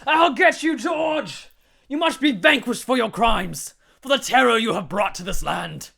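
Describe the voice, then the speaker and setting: A man voicing a brash armored knight who shouts challenges at his enemy. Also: very high-pitched, animated, unhurried, vocal fry, husky, voice pushed up, full character voice. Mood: heroic. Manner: shouting, blustering. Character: brash armored male knight, heroic and blustering.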